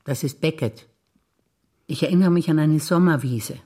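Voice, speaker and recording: An older woman performing scripted stage dialogue, wry and teasing in quick exchanges. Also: low-pitched, dark tone. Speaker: stage actor.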